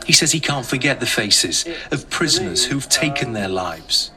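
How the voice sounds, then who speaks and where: A man's voice: medium pitch, animated, normal speed, music in the background. A man reading scripted narration as the narrator of a documentary. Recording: very noisy background under narration.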